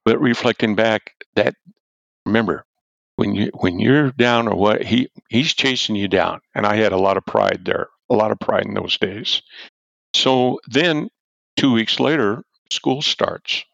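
An older man with a low, gravelly voice tells a story as an interview guest, loud and animated, chuckling as he goes. He was a football coach. The recording is dull and dry, with little high end and no room sound. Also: unhurried, no echo. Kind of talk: storytelling in a podcast-style interview.

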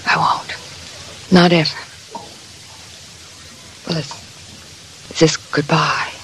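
A woman's voice: low-pitched, steady tone, takes it slowly. Sad sweet lady in old detective radio show, hesitant n tender, bit of hiss. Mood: tearful, wistful. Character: fragile, lovelorn woman client, polite and wistful. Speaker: supporting actress in radio drama. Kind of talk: 1940s radio acting. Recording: noisy 1940s radio recording.

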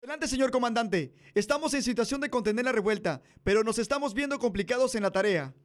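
Young man acting Mexican army sergeant, very high voice, reluctant, earnest, radio play. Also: lively intonation, fast talker. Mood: urgent. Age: young adult. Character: reluctant, conscientious army sergeant pleading with his superior. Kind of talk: amateur radio drama acting.

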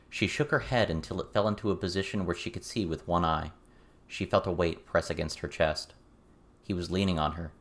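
A man with a low-pitched voice narrates an audiobook story. His phrasing is measured and deliberate, following a written fiction text. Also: fairly bright tone.

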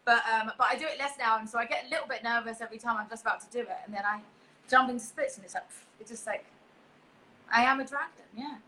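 A woman's voice: on the high side, fast, pushed and bright, slight background noise, low-quality recording. Young woman on a video call, chatty, laughs a lot, starts over mid-sentence. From England. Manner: chatty and laughing, with many restarts. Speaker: guest performer. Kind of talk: casual interview chat.

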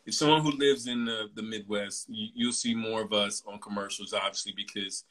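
A man in his mid-forties hosting a casual interview, talking in a relaxed, chatty way with plenty of fillers. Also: lowish voice, a little fast, poor audio. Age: 40s. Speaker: host.

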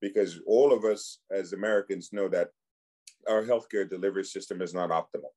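A man in his forties from Cameroon, a physician, speaks with a somewhat low voice. He talks in an easygoing podcast manner, often stopping to restart a phrase or repeat a word before he finds it. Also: fairly even intonation, warm tone. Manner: halting, many restarts and repeated words. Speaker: physician and clinic founder, guest. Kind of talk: casual podcast conversation.

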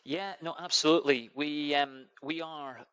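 A man's voice: a little high, steady tone, normal speed, sharp tone, smooth, no room sound, dull audio. A man with a Scottish accent, an admissions officer answering interview questions with enthusiasm and frequent restarts. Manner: enthusiastic, hesitant with many restarts.

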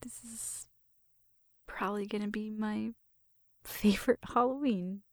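A woman playing a shy, flirtatious roommate in an intimate ASMR roleplay, speaking in a breathy, hesitant, tender whisper. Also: mid-range voice, steady tone, a little slow, dry recording, studio quality, close to an everyday voice. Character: shy, flirtatious female roommate giving an affectionate Halloween makeover.

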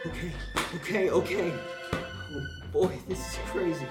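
A woman's voice: lowish voice, measured pace, lightly acted. A woman playing a flippant, wisecracking paranormal investigator in an audio horror drama. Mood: excited alarm. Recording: roomy echo with music behind.

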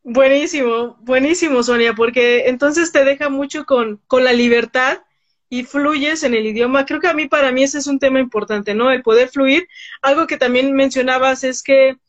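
A woman's voice: sits high, fairly even intonation, moderate pace. A woman hosting a casual interview, who talks hesitantly and often restarts her sentences. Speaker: interview host. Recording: dull lo-fi sound.

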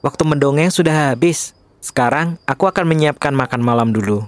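A man's voice: medium pitch, fairly quick, close to an everyday voice. Man playing an earnest, clever young storyteller. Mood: storytelling. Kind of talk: children's storybook audio drama.

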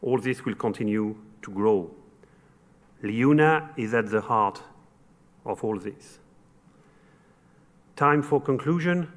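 A man from France with a French accent giving earnest, formal remarks as an event sponsor, in short measured phrases. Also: mid-range voice, expressive. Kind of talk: formal closing remarks at a luncheon speech.

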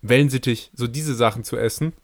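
A man's voice: medium pitch, a little fast, no echo. A man co-hosting a podcast, chatting casually and joking as he asks questions. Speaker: podcast co-host. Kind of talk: casual chat.

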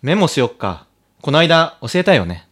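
A man's voice: fairly high pitch, very animated, moderate pace, a bit bright. A man co-hosting a podcast in casual comic banter, with a playful, teasing and theatrical manner. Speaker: podcast co-host. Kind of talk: casual comedic banter chat.

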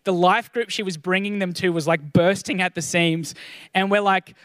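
A man with a high voice preaches to his church in an earnest, encouraging way. He often doubles his first words as a thought begins. The recording is dry and close. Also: fairly even intonation, normal speed, fairly bright tone, smooth, no room sound. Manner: earnest, encouraging, repeats words when starting sentences. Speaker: pastor. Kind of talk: sermon.

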